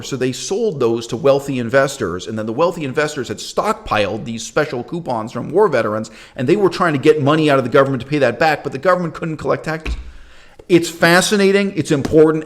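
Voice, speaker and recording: A man hosting his own channel, giving an emphatic, opinionated talk on history, with loud bursts that drop to quiet asides. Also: mid-range voice. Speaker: channel host.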